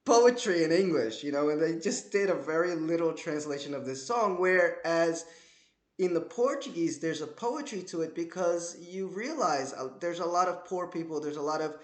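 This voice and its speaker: A man hosting an interview, asking questions and talking about songs in an animated, enthusiastic way. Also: on the high side, normal speed, reverberant. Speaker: podcast host. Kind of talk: casual interview.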